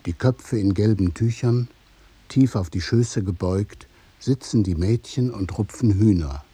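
A man narrating a scripted literary radio play in a deliberate, measured storytelling manner. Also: fairly low pitch, mellow, slightly rough.

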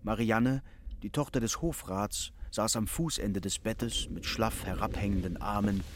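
A man's voice: lowish voice, unhurried, noisy background. A man reading literary narration in a German radio play.

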